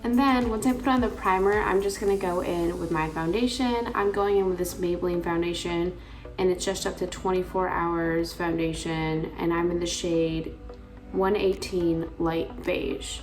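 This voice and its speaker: A young woman with a raspy voice, chatting casually through a makeup tutorial with lots of filler words. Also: on the low side, fairly even intonation, moderate pace, soft background music. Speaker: beauty vlogger.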